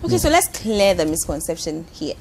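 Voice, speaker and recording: A woman's voice: lowish voice, sing-song, fairly quick, slight background noise. A woman hosting a TV talk show, playful and teasing, laughing often in a studio. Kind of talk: casual studio chat.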